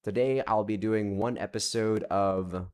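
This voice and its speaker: Young male let's-play creator, lowish voice, chatty, meandering, casually profane. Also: steady tone, measured pace, a little mellow. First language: not Japanese. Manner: rambling, self-interrupting, swears casually. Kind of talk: casual rambling update to viewers. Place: home room with several monitors.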